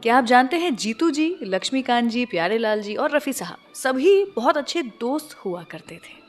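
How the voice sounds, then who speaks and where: A woman's voice: fairly high pitch, very animated, moderate pace, soft background music. A woman hosting a radio show, presenting in a conversational way and speaking directly to listeners. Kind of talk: scripted radio show presenting with film music trivia.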